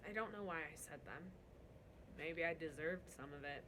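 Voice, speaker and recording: A woman voicing a young servant girl in a storybook read-aloud, soft, hesitant, earnest and worried. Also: deep voice, normal speed, noisy background, natural voice. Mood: earnest, regretful.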